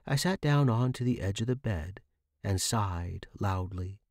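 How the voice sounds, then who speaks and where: A man's voice: fairly low pitch, animated, unhurried, a little mellow, no echo, clean studio sound. A man reading a scripted first-person story as a fiction podcast narrator.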